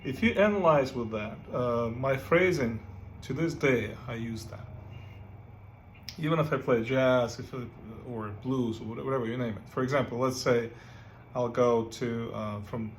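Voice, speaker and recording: A man's voice: medium pitch, a little hiss or hum. Man teaching guitar. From Ukraine. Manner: halting, many fillers and "right?" checks. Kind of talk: casual music lesson with playing. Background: guitar playing.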